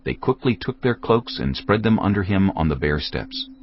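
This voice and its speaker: A man's voice: low voice, sing-song, moderate pace, a little hiss or hum. A man narrating scripture solemnly for a dramatized audio production. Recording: dull lo-fi audio with music.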